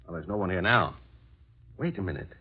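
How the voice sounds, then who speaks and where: An actor playing an authoritative, brisk police captain in 1940s radio drama style. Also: male voice, sits low, lively intonation, slightly rough. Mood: wry, then curious. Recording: muffled old radio recording.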